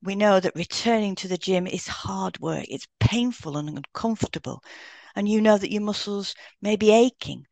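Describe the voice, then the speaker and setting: A woman's voice: medium pitch, moderate pace, gravelly, a little mellow, dry recording. A woman co-hosting an educational podcast, reading scripted explanations in an instructive way. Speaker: podcast co-host, hypnotherapist. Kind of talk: scripted educational podcast read aloud in turns.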